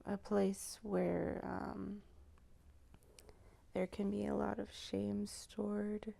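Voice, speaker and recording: Slow, creaky, hushed woman guiding meditation. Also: medium pitch. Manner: slow, hushed, halting delivery with pauses. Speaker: guided meditation host. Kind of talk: channeled guided meditation, improvised.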